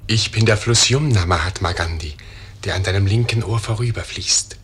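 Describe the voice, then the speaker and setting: An actor voicing a dark, morbid river spirit in a radio play, raspy, forceful and ominous. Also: male voice, on the low side, normal speed. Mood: grim.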